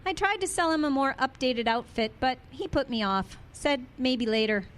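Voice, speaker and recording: A woman's voice: sits high, animated, normal speed. A woman playing a chatty small-town shopkeeper in a radio drama.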